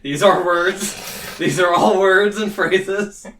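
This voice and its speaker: A man's voice: high voice, slow pace, sharp tone, far from the mic, slight background noise. A man running a tabletop roleplaying game as game master, mixing scene narration with wry, jokey banter.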